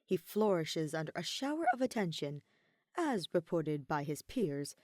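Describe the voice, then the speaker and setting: A woman's voice: sits low, sing-song, a little slow, a little mellow, dry recording, clean studio sound. A woman reading a story as scripted narration, expressively, with dramatic emphasis on key words. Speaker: podfic narrator reading fan fiction.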